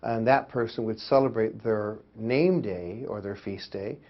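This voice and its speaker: A man's voice: fairly low pitch, a little slow. Man explaining, dull lo-fi sound. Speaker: interviewee on Orthodox church life. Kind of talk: explanatory interview talk. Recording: dull, lo-fi interview audio.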